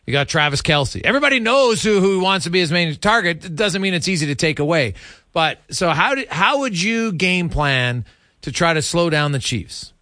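Lively, bright-voiced male sports radio host. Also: a little high, moderate pace, no echo, clean studio sound. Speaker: radio host interviewing a guest. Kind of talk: conversational sports radio interview.

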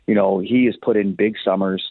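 A man speaking as a hockey head coach in a radio interview, explaining in a halting way and repeating words as he goes. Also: lowish voice, fairly even intonation, vocal fry, a little hiss or hum. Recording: phone-like thin band-limited line.

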